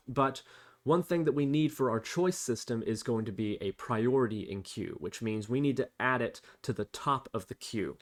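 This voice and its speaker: A man hosting an explanatory programming tutorial, talking through each step as he types. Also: mid-range voice. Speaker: tutorial host. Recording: faint noise, keyboard typing clicks. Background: computer keyboard typing and clicks.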